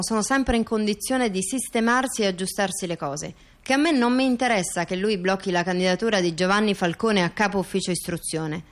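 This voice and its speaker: Woman acting female intellectual, documentary radio theatre, deliberate reading of dates and testimony, medium pitch. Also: natural voice. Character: female intellectual chronicling events and quoting testimony. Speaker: performer in a two-person radio theatre dialogue.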